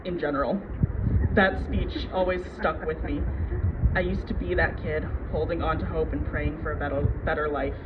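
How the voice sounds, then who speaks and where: A man giving a prepared, heartfelt public speech outdoors, earnest and emphatic with deliberate pauses. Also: a little high, steady tone. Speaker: community speaker at a ceremony. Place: outdoors at city hall. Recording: dull outdoor event audio with loud noise.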